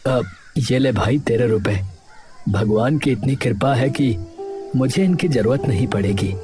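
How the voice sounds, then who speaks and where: A man's voice: mid-range voice, expressive, unhurried, mellow, soft background music, close to an everyday voice. A man voicing an honest, kindly village potter who talks earnestly, in a children's cartoon. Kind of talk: Hindi children's cartoon voice acting.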